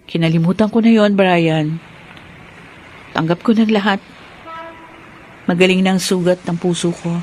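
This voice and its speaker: A woman's voice: lowish voice, fairly even intonation, moderate pace, pauses often, a little mellow, slight background noise, natural voice. An actress playing a guarded but good-natured young career woman in a radio drama. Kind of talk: Filipino radio drama acting.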